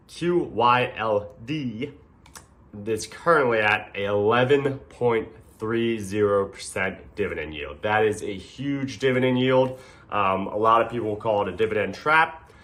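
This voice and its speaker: A man with a stuffed-up, nasal voice talking casually to camera, relaxed and hesitant, often saying um and clearing his throat. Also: lowish voice. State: congested, nasal from a sinus infection. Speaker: video creator. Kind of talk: casual explainer talk.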